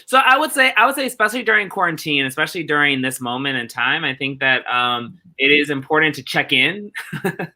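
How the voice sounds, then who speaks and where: A man giving reflective interview answers as a theatre director, earnest and deliberate, repeating phrases rhythmically for emphasis. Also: a little high, expressive, bright, forceful tone.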